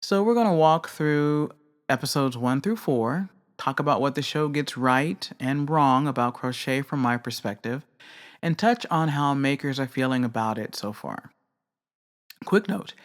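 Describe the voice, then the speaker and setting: A woman hosting a craft podcast, giving measured, candid and opinionated commentary on her own. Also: a bass voice. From not British. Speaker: podcast host. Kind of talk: reflective solo commentary.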